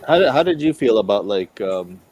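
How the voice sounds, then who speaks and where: A man with a fairly low voice talks casually as a podcast co-host. He rambles and hedges, feeling his way through his thoughts in an informal chat. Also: sing-song, a little fast, darkish tone, husky. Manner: rambling, hesitant, hedging.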